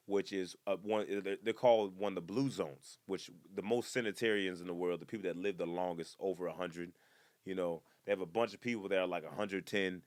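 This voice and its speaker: Animated young man, low dry voice. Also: dry recording. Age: 20s. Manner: animated, jumps to loud high-pitched bursts when acting out people. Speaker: comedian hosting his own podcast. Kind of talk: casual comedic monologue.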